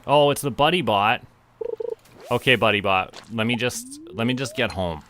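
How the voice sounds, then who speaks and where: A man's voice: medium pitch, normal speed, fairly bright tone, slight background noise. A man chatting casually to himself and to viewers as he streams a game. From Canada. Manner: talks to himself and viewers while playing. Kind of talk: casual game livestream chat.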